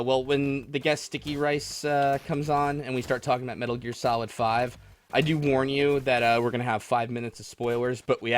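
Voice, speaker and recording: A man hosting a casual live stream, chatting with callers as he plays a game. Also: mid-range voice. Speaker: podcast and stream host. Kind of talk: casual live call-in chat while gaming.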